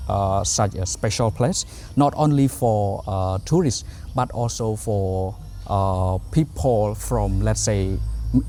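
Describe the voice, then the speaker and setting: Guy hosting, polite and formal, lots of uhs, warm lowish tone, faint noise. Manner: polite, formal, many hesitations. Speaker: interview host. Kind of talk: hosting an interview.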